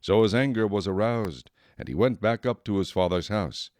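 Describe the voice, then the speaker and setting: A man's voice: fairly low pitch, expressive, normal speed, a little mellow, no room sound, clean studio sound. A man narrating scripture as a scripted audio drama narrator.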